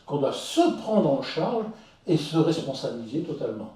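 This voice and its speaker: Man leading a talk, fairly high mellow voice, measured explanations, mic far off in a reverberant room. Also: sing-song. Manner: measured, explains step by step with examples. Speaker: discussion leader on psychology. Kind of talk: explanatory conversational discussion. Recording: very echoey room, distant mic.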